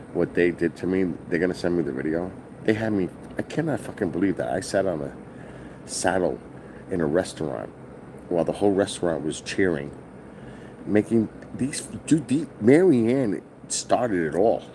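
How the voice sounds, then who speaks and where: A middle-aged man from New with a loud voice, telling a rambling, profane story on a casual stream from his hotel. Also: deep voice, background noise. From New York. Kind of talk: casual live-stream vlog. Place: hotel, indoors.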